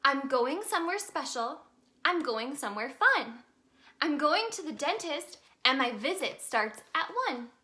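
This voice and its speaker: A woman reading a children's story aloud in an expressive, sing-song way for young listeners. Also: high-pitched, a little slow. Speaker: children's storybook reader, in a tooth fairy persona.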